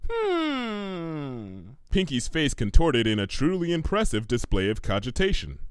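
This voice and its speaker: A man narrates a story in an expressive, scripted style. His mid-pitched voice moves with lively intonation and sounds close and dry. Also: brisk, no echo.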